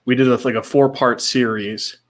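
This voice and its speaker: A man's voice: mid-range voice, steady tone, moderate pace. A man in his thirties co-hosting a casual podcast, talking in a laid-back, slangy way with short repeated reactions, sometimes reading out comments. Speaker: podcast co-host.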